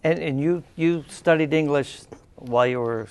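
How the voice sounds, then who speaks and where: A man hosting a talk show interview, speaking haltingly with many uhs and restarts. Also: on the high side, darkish tone, smooth. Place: cable TV studio.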